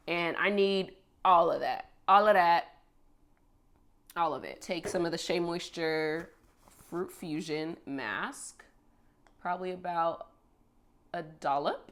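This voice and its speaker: A young woman with a congested voice from a cold, chatting casually through her hair-care routine at home. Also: lowish voice. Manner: chatty, upbeat, walks through each step. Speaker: beauty vlogger.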